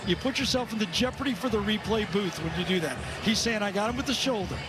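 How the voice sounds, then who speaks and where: Man, American accent, high voice, emphatic color commentary, conversational, very noisy broadcast. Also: fast. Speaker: color analyst. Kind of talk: conversational live football analysis. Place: stadium broadcast booth.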